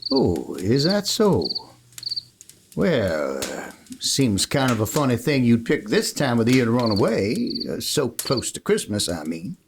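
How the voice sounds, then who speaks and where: A man's voice: mid-range voice, huge pitch swings, a little slow, creaky, background noise, exaggerated voice. A man playing an easygoing, kindly Texas cowboy with a hesitant, rambling folksy manner in a Western radio play. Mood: gently concerned. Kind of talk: old-time Western radio play acting. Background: plucked guitar music.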